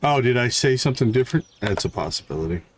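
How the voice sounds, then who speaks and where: A man's voice: medium pitch, lively intonation, fast, slight background noise. A man hosting a live game stream, thinking aloud in short casual fragments and chatting to viewers, with a slight catch in his throat. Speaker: livestream host, gamer.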